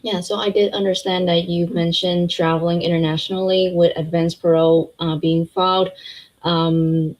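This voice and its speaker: Woman host, deep voice, casual questions, plenty of filler words. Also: flat delivery, moderate pace, darkish tone, rough recording. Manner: friendly, filler-heavy questions. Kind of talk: live interview hosting.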